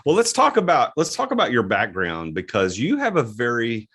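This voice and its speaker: A man's voice: mid-range voice, wildly swooping pitch, normal speed, sharp tone, breathy edge. A man hosting a podcast, chatting casually with a guest over a video call, rambling with many restarts and easy laughs. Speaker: podcast host. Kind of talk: casual interview chat. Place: home studio, on a video call.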